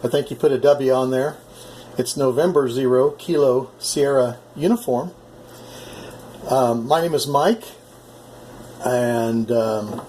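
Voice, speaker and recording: Halting American man over faint background noise. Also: mid-range voice, dark tone. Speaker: amateur radio operator. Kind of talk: casual ham radio conversation.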